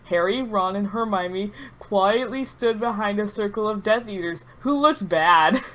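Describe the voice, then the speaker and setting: A woman's voice: medium pitch, a little slow, fairly bright tone. Female reader, mock-grave storytelling of absurd fan fiction, prone to giggling. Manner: mock-serious storytelling, often breaking into giggles.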